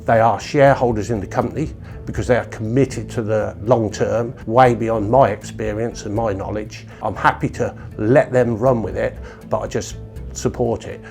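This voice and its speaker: A man's voice: lowish voice, fairly even intonation, moderate pace, gravelly, darkish tone. British man, music playing faintly behind. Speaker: company owner being interviewed. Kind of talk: promotional interview talk.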